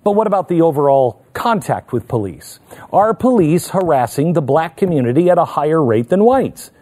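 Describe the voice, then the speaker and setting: A man's voice: on the high side, big pitch swings, moderate pace, warm tone. A man delivering a political commentary monologue as a host, emphatic and fond of rhetorical questions.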